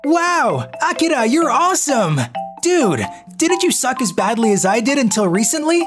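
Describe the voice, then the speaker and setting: A woman voicing a blunt, brash young schoolboy classmate in an animated manga story. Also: sits high, moderate pace, music in the background.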